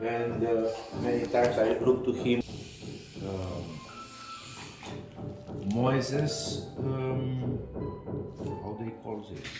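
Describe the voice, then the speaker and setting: Slow older man with Romanian accent. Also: medium pitch. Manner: halting, searching for English words. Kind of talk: casual conversation. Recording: echoey room with music behind.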